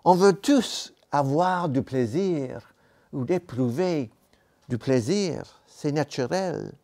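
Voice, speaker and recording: A man preaching a sermon in a church, a non-native speaker of the language, talking slowly and deliberately with pauses and self-corrections. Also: fairly high pitch, animated, dark tone. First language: not French.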